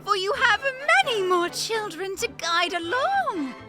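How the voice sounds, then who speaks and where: A woman's voice: extremely high pitch, lively intonation, measured pace, music in the background. A woman voicing a gracious, regal fairy queen in a comic dub, stately and formally encouraging.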